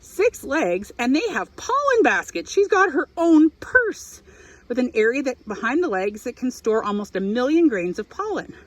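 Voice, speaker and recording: A woman's voice: high voice, very animated, normal speed. A woman reading a picture book aloud to young children, expressively, with explanatory asides. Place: outdoors in an apiary.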